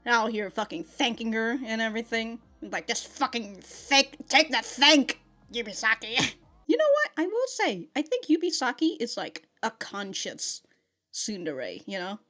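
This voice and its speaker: High-voiced, slangy woman reviewing anime. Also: measured pace. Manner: animated, slangy, swears freely; chatty, laughs often while talking. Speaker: reaction video host, anime reviewer. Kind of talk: casual reaction commentary and episode recap.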